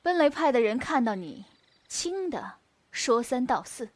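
A woman's voice: high-pitched, sing-song, a little slow, slight background noise. A woman playing a stern elder female disciple in a Chinese wuxia radio drama, scolding in a firm, reproving way.

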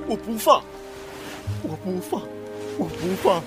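A man's voice: sits high, big pitch swings, takes it slowly, music in the background, exaggerated voice. A man playing an earnest, clinging twenty-year-old in love, pleading with passion in a melodramatic audio drama. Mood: desperate, pleading. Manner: pleading, impassioned. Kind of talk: Chinese amateur audio drama, melodramatic acting.

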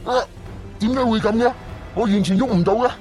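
A taunting sci-fi villain, acted by a man with a fairly high-pitched voice. He is gloating and theatrical, stretching out his menace as in a radio play. Music plays softly behind him. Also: close to an everyday voice. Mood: panicked. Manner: mocking, theatrical, drawn-out menace. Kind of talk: Cantonese audio drama acting.

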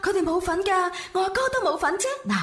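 A woman's voice: very high voice, fast talker, fairly bright tone. A woman voicing a coaxing, lovestruck young woman, the gang boss's sister, in a comedy radio drama, with a pleading, sing-song delivery. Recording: echoey room with faint music.

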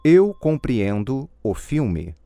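A man reading model answers in a language course drill, slow and clearly enunciated. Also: mid-range voice, sing-song, warm tone.

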